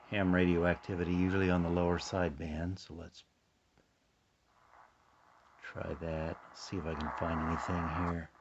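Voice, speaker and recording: An American man giving casual, unhurried commentary as he demonstrates a hobby hands-on. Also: deep voice. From United States. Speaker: radio hobbyist narrator. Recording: dull sound with radio static. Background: shortwave radio noise.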